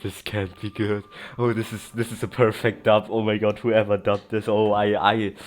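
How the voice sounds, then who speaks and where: A man's voice: on the low side, level tone, normal speed. A man giving casual gameplay commentary as a let's player, reacting and repeating himself. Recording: game music behind the voice.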